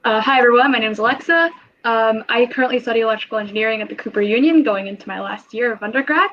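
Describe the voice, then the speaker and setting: Young woman, fast, upbeat intern intro, lo-fi audio. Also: fairly high pitch, a bit bright, a little hiss or hum. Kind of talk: casual self-introduction.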